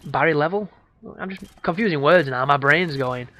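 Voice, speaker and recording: A man with a hoarse voice, giving casual, crude, rambling commentary over a game walkthrough. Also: fairly high pitch, moderate pace, sharp tone, no echo. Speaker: gameplay commentator.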